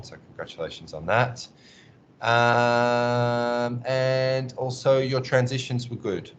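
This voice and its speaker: A very deep-voiced man teaches over a video call, offering encouraging feedback with frequent ums. A faint rumble sits under his voice. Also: muffled recording. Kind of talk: giving feedback in an online class.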